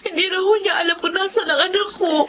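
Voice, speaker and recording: Humble household helper and devoted mother, high voice, emotional melodrama delivery, muffled noisy audio. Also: fairly even intonation, fast talker. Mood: tearful. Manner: deferential, emotional. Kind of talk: Filipino radio-style melodrama acting. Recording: dull lo-fi audio with clear noise.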